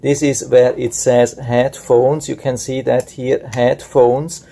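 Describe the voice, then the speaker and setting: Male product reviewer, mid-pitched and relaxed, plain unscripted tutorial delivery. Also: slow, gravelly. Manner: plain explanatory, step by step.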